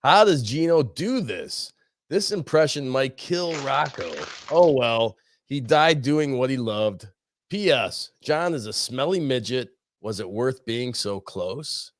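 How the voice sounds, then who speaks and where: Brief: male co-host, mid-pitched, animated delivery, dry close sound, short agreeable replies. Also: takes it slowly, dry recording. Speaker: co-host reading super chats. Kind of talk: live stream chat.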